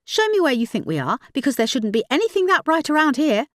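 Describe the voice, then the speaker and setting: A woman voicing a brisk, sceptical, bossy woman hiker in an audiobook story. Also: sits high, big pitch swings, no echo, studio quality, voice pushed up. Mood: sceptical, challenging. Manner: challenging, bossy.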